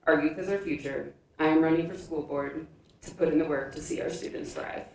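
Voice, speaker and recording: A woman's voice: deep voice, fairly even intonation, fairly quick. A woman giving a prepared campaign statement at a forum as a candidate, with formal, scripted delivery. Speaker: school board candidate. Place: indoor public forum room. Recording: echoey room from far off, faint noise.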